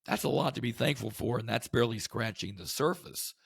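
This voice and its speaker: A man hosting a devotional podcast, his voice hoarse from the flu, teaching in an earnest, conversational way with rhetorical questions. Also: mid-range voice, fairly quick, no room sound, studio quality. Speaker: podcast host.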